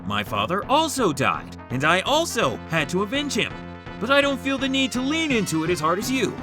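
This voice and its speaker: A man playing a testy, impatient hero in an animated comedy, snappy and irritated. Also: sits high, normal speed, over music. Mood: weary annoyance.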